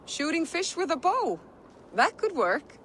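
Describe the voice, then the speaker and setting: A man's voice: extremely high pitch, big pitch swings, measured pace, a crowd in the background, exaggerated voice. An actor voicing a light, chatty male fisherman in a video game. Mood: easygoing. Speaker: game character.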